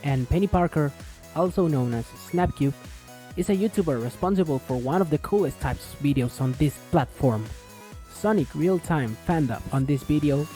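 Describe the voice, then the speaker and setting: A video essay host delivers scripted explanatory narration at a middling pitch. Faint music plays underneath the voice-over. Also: lively intonation, moderate pace, mellow.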